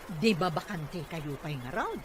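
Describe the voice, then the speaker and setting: A woman's voice: deep voice, big pitch swings, a little slow, background noise. A woman acting a proud, inquisitive village mother in an Ilocano drama, with an Ilocano accent. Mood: proud, prying. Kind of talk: amateur Ilocano radio-style drama acting.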